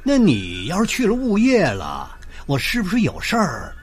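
A man's voice: sits high, huge pitch swings, moderate pace. An actor playing a chatty retired courthouse doorman in a Chinese radio drama, an older man with a Beijing accent and a folksy, theatrical storytelling manner. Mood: neighborly, curious. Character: chatty retired courthouse doorman, eager neighborhood law volunteer. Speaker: storytelling host and lead character of a radio drama.

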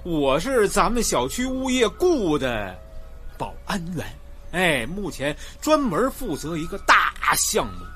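A man's voice: high-pitched, huge pitch swings, measured pace, sharp tone, soft background music, exaggerated voice. An actor playing a boastful, wheedling male security guard in a Chinese radio drama, slangy and eager, with a Beijing accent. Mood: boastful, excited.

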